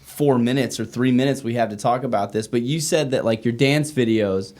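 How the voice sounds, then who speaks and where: A man's voice: medium pitch, brisk, darkish tone. An American man hosting a podcast interview in a casual, conversational way. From United States. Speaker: podcast host.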